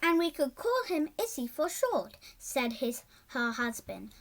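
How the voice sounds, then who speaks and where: High-voiced child reading a storybook aloud. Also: big pitch swings, a bit bright. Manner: halting, stumbles over words and repeats them.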